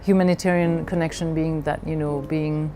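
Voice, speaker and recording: Middle aged woman from belgium talking personally, low voice, halting and reflective, music playing behind her. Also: level tone. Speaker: leadership coach.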